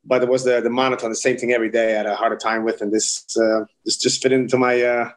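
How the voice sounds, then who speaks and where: A man's voice: medium pitch, level tone, quick pace. A man in his forties from the Netherlands with a Dutch accent, a rabbi talking casually in an interview, often repeating words and restarting. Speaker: kosher supervision rabbi.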